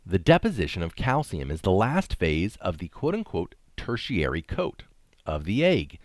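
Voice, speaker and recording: A man's voice: low-pitched, no echo, studio quality. A man reading an article aloud, informally breaking off to explain and comment in casual asides. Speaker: reader and commentator.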